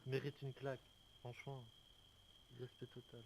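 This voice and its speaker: A man's voice: mid-range voice, monotone, moderate pace, a loud crowd, natural voice, poor audio. A man playing a grumbling, indignant motorist in online game roleplay, colloquial, over voice chat with faint noise. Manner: grumbling, colloquial, tag questions.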